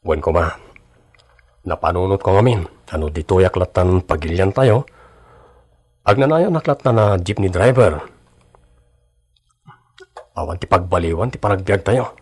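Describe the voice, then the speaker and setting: A man's voice: low voice, animated, moderate pace, pauses often, darkish tone, breathy edge. A man acting in an Ilocano radio drama as a devoted, hardworking young husband who drives a jeepney. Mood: earnest.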